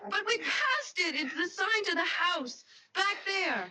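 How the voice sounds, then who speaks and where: An actress playing an eager, affectionate, impulsive young fiancée in a 1970s style radio drama, breezy and coaxing. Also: female voice, very high-pitched, very animated, a little slow, sharp tone, full character voice. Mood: urgent. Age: young adult.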